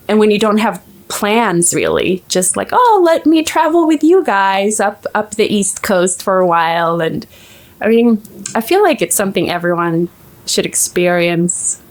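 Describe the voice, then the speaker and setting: A woman's voice: mid-range voice, expressive, unhurried. A woman in her forties from Sweden with a Swedish accent, chatting animatedly as an interview guest. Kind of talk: casual interview chat.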